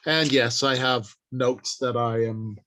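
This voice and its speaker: A man's voice: medium pitch, fairly even intonation, slow, pushed and bright, slight background noise. A man giving an informal instructional talk as a writer, explaining things plainly and stressing key points, partly improvising from notes. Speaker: writer and convention panel speaker.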